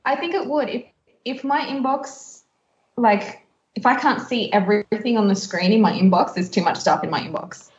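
A woman's voice: a little high, moderate pace. A woman co-hosting a casual podcast, chatting in a playful, teasing way. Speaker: podcast host. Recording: dull, roomy, echoey sound.

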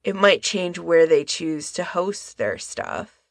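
A woman co-hosting a podcast in a casual discussion, with a creaky voice. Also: on the low side, big pitch swings, takes it slowly, no room sound, studio quality. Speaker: podcast co-host.

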